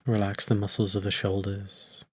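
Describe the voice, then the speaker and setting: A man leading a guided meditation as a teacher, in soothing phrases with long, unhurried pauses. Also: fairly low pitch, level tone, slightly rough.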